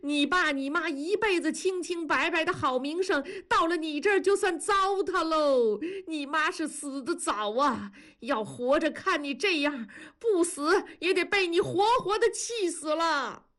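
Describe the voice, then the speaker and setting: Woman reader doing an older man, wailing scolding, high strained voice. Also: measured pace, hardly any pauses, fairly bright tone. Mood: wailing reproach. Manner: wailing, lamenting. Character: distraught older man scolding a wayward son.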